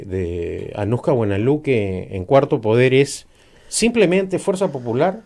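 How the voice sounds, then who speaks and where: Brief: middle-aged Peruvian man, emphatic political guest, lively intonation, hesitant fillers. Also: fairly high pitch. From Peru. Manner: emphatic, argumentative, many hesitations. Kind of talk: political interview.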